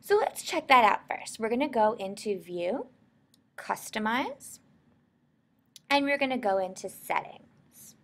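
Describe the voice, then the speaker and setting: American female instructor for tutorial narration, informal and explanatory, lively intonation with a creaky edge. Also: fairly high pitch, unhurried, long pauses. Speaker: software instructor.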